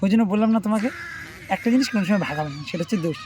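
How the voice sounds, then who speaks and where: A man's voice: high-pitched, expressive, rapid-fire, a little mellow. A man doing domestic comedy banter as a teasing, complaining husband. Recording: very noisy home-video sound.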